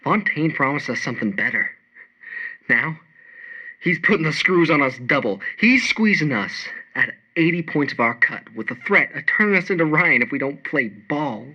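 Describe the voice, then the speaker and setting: Radio drama role: embittered working-class smuggler, slangy and tough, very forceful edge, on a dull lo-fi recording. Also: male voice, a little high, sing-song, moderate pace, heavily acted. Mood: bitter, weary. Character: bitter working-class male fishery smuggler.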